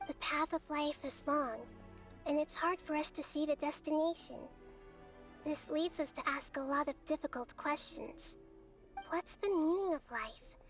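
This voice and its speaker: Wise girl goddess, very high and soft, measured and earnest, game music faint behind. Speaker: archon addressing her people. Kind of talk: video game voice acting.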